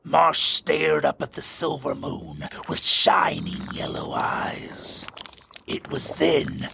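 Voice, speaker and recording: A man's voice: fairly high pitch, very animated, slow, vocal fry, sharp tone, whispered, heavily acted. A man playing a ghoulish gravedigger who narrates scripted horror tales in a theatrical, macabre, mock-sentimental way. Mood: ominous. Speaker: horror story host and narrator. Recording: music behind the voice.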